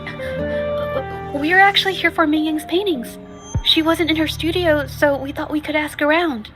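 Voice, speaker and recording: An inquisitive young video game heroine, courteous and thoughtful. Her voice is high-pitched, and music plays clearly behind her. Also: measured pace. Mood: hesitant, polite. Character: polite, inquisitive young woman adventurer sleuthing. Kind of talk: English video game voice acting.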